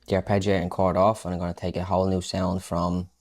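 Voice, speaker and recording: A man's voice: low voice, little pitch movement, fast talker, darkish tone. A man giving a casual music production tutorial, talking informally and thinking aloud as he works. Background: electronic house music.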